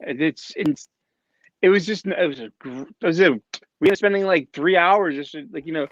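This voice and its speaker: A man, a guest on a casual livestream chat, who talks in halting bits with many restarts and fillers, heard over a video call. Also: a little high, a bit bright, highs cut off.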